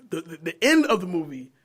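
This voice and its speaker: An American man with a fairly high-pitched voice talks casually as a podcast panelist. His delivery is animated and full of slang, in the manner of a relaxed chat among friends. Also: a little slow. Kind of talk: casual chat about movies.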